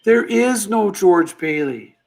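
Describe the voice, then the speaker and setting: A gentle guardian angel acted by a man explains patiently and earnestly in an old radio drama. The voice sits high, with a gravelly creak. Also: animated, slow pace, heavily acted. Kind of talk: old-time radio play acting.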